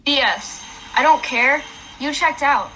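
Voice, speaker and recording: Woman acting a high-voiced, scolding ex-wife. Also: slow, pushed and bright, slight background noise, heavily acted, low-quality recording. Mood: angry. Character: furious, fed-up ex-wife and protective mother. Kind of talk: radio play acting.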